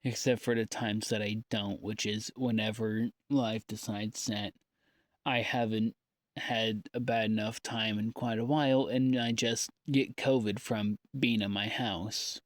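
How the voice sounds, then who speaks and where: Slow-talking man, halting and downbeat. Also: fairly low pitch, flat delivery, dry recording, clean studio sound. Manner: halting, with long pauses. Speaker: audio diarist. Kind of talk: rambling, confessional audio log.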